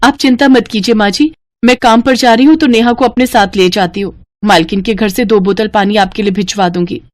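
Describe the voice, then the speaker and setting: A woman's voice: mid-range voice, fairly even intonation, brisk, natural voice. A woman voicing a humble, poor working mother and maid in a moral story, deferential and caring.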